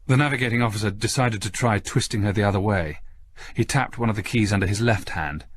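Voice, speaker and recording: A male audiobook narrator with a low voice reads scripted fiction. His delivery is that of dramatic storytelling. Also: quick pace.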